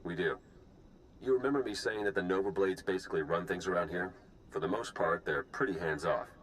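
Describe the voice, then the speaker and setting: Deep-voiced, confident spy. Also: male voice, a little fast, a bit bright, slight background noise, poor audio. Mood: businesslike. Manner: businesslike, direct. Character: pragmatic, confident male spy. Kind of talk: video game voice acting.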